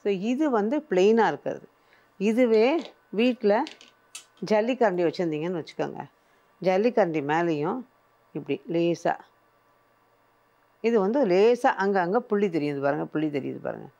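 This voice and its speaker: A woman's voice: on the low side, normal speed, gravelly, pauses often, warm tone, dull audio. An older woman presenting a cooking show, patiently explaining each step as she works in a studio kitchen.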